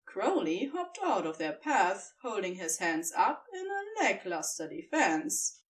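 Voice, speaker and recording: A woman narrating fan fiction as an expressive scripted audiobook reading. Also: mid-range voice, measured pace, distant mic.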